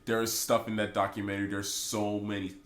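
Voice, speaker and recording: A man's voice: on the low side, fairly quick. A man co-hosting a casual podcast, his voice stuffy and congested from a cold, ranting with strong opinions. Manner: opinionated, animated rants. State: stuffy, sick voice. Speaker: podcast co-host. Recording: echoey room.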